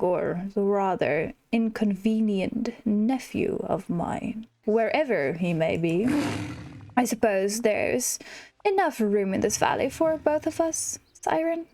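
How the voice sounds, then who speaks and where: A woman's voice: medium pitch, creaky, dark tone. A woman voicing a haughty, condescending male lion villain from a game, pompous and drawling.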